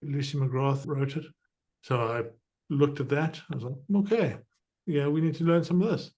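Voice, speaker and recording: A middle-aged man from southern Africa who hosts a podcast, explaining things with energy and quick asides. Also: fairly high pitch, a little mellow. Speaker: podcast host. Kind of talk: conversational interview.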